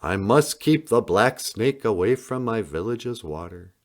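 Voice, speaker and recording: Man, low voice, slow measured delivery, solemn dramatic storybook reading, music underneath. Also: lively intonation. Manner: measured, with deliberate pauses. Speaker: picture book reader.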